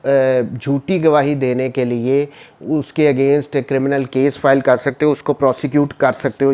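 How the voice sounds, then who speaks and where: A man with a Pakistani accent lecturing on written rules, explaining them in a didactic, informal way and rephrasing points simply. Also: mid-range voice. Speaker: law lecturer.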